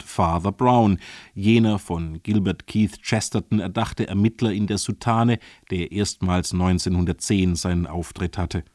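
A man presenting a scripted literary introduction on the radio in a measured, formal way. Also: deep voice, darkish tone, no room sound, clean studio sound. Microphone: close studio microphone.

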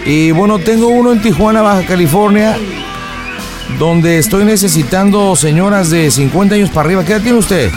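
A man's voice: high voice, fairly quick, over music. A Mexican man hosting a prank call show, improvising comic banter in a mocking, teasing, slangy way.

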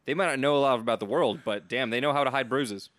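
Male podcast co-host, rapid casual game banter, dry close recording. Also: mid-range voice, fairly bright tone, dry recording.

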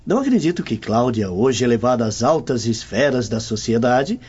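An actor playing a worldly, affable Roman gentleman in a radio drama, courteous and theatrical as a confidant. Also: mid-range voice, very animated, a little fast, husky. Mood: confiding, cautionary. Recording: dull audio over music bed.

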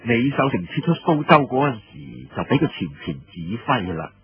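A man's voice: mid-range voice, big pitch swings, normal speed, vocal fry. A man voicing a nervous, deferential surrendered officer in an audiobook story, reporting to a commander with hesitant, obsequious care. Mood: careful reporting. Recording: muffled lo-fi roomy radio-like recording.

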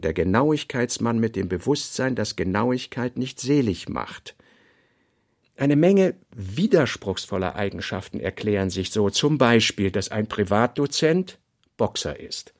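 Man reading literary notes, creaky voice. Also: mid-range voice. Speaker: reader of a writer's working notes. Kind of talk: literary radio play reading, scripted.

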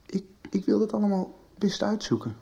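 An actress playing an eager, bookish and polite secondary-school pupil in a radio soap. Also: female voice, mid-range voice, sing-song, moderate pace, creaky, warm tone, highs cut off. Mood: eager, curious. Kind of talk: Dutch radio soap acting.

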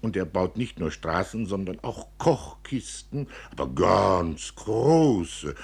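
A man's voice: deep voice, expressive, takes it slowly, creaky, husky, heavily acted. A man voicing a proud, naive young girl in a storybook reading, speaking emphatically like a know-it-all. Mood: eager, insistent.

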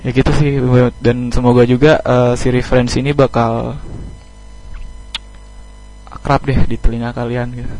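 A man's voice: mid-range voice, level tone, moderate pace, a little mellow, soft background music, low-quality recording. A man speaking as an interviewed band member, casual and colloquial, humble and thankful in manner. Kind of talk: casual radio interview chat.

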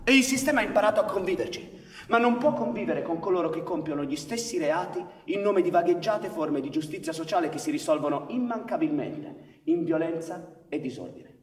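An actor playing a stern, cold police chief in a radio drama, speaking with measured, deliberate authority. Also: male voice, on the high side, animated, natural voice. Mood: cold, insistent. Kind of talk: radio theatre acting. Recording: echoey reverberant room, distant mic.

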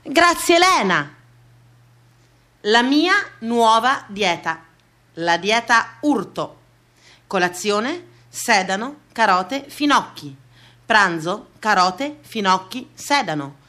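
Bright-voiced woman performing comic monologue. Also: mid-range voice, very animated, takes it slowly.

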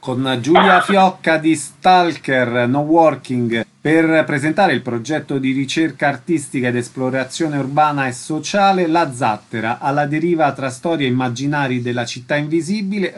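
A man hosting a radio interview, giving scripted introductions and putting questions to guests. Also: on the high side.

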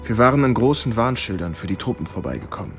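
An actor playing a sober American army lieutenant in a radio drama. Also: male voice, lowish voice, expressive, fast, fairly bright tone, faint music behind. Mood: recounting.

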